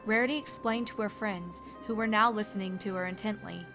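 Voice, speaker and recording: Casting: female storybook narrator, mid-range pitch, scripted delivery, soft music bed underneath. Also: a little fast.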